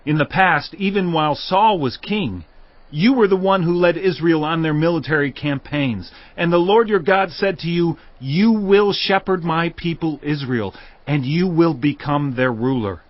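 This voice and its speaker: A man acting an earnest Israelite tribesman in a Bible audio drama. His voice sits fairly high and he declaims solemnly. The recording is dry and a little dull. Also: normal speed, no room sound, lightly acted. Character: earnest male Israelite spokesman pledging loyalty to his king.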